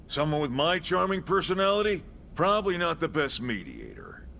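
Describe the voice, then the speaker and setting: Wry, animated military commander. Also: male voice, on the high side, normal speed, vocal fry, slight background noise, heavily acted. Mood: wry, expository. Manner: folksy, wry, sardonic. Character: gruff, wisecracking male military commander. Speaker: commanding officer briefing recruits. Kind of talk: video game cutscene acting.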